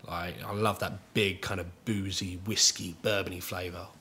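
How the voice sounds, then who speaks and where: A man's voice: low voice, little pitch movement, moderate pace, sharp tone, slight background noise. A man working at a beer shop, chatting in an easygoing way. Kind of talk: casual chat.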